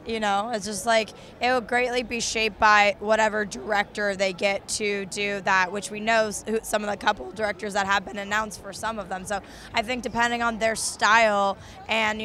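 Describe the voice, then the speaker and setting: Hoarse, enthusiastic woman chatting about movies. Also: mid-range voice, fairly bright tone, slight background noise. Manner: enthusiastic, fluent, many "you know" fillers. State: hoarse, losing her voice. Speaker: co-host of a movie news show. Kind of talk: casual chat about movies. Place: outdoors at a busy convention.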